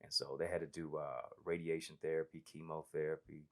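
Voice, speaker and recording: A man being interviewed as a guest preacher, telling stories in a lively way and laughing often. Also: rumbling low, a little fast. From United States. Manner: animated, laughs often, says "you know what I'm saying". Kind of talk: casual interview storytelling.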